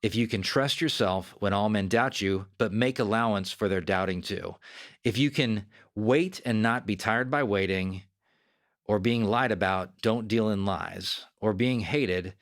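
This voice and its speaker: A man's voice: on the low side, unhurried, fairly bright tone. A man hosting a self-help podcast, speaking in an earnest, conversational coaching manner straight to the listener. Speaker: podcast host.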